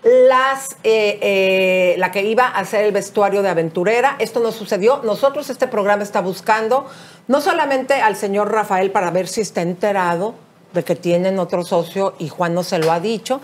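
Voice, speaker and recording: A woman's voice: mid-range voice, moderate pace. Chatty Mexican woman hosting gossip. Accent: Mexican Spanish. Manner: conversational, addresses viewers as comadres, fillers eh and este. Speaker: gossip show co-host. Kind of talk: celebrity gossip commentary. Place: bright TV studio set.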